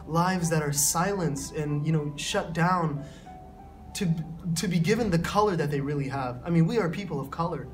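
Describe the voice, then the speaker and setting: A man in an interview, speaking earnestly in a somewhat high register. He is emphatic and repeats his key phrases. He sounds distant from the mic, with faint music under him. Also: moderate pace.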